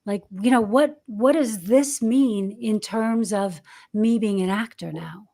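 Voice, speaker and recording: A woman's voice: medium pitch, slow pace, mellow. An American woman in her fifties, a career coach for actors, talking candidly and reflectively in a podcast interview, with frequent restarts and fillers. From United States. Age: 50s. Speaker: acting career coach, guest.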